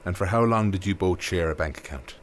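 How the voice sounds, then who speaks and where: Man playing a deep-voiced, stern police interrogator. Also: fairly quick, slight background noise, close to an everyday voice. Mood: stern, accusing. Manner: curt, accusatory. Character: stern, sceptical, needling male police interrogator. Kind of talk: dramatic interrogation acting.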